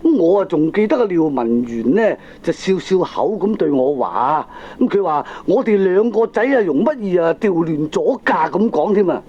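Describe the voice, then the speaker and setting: An excitable, stubborn Hong Kong Cantonese father talks emphatically in an old comic radio play. His high voice swings up and down, on a dull lo-fi recording. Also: normal speed, hardly any pauses. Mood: excited, insistent. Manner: emphatic, chatty, exaggerated delivery. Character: excitable middle-aged father, stubbornly convinced, earnest and talkative. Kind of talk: 1980s Cantonese comic radio drama acting.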